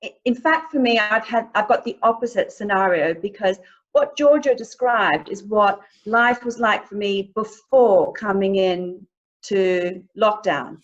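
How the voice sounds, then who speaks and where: A woman's voice: mid-range voice, unhurried, muffled recording. A woman from outside the UK speaking as a panelist on a video call, talking in an earnest, reflective way.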